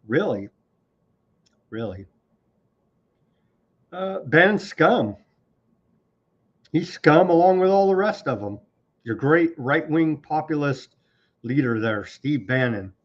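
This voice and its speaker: A man's voice: on the high side, expressive, slow, long pauses, lo-fi sound. Sarcastic man ranting on news. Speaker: political commentary host. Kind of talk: live online news commentary.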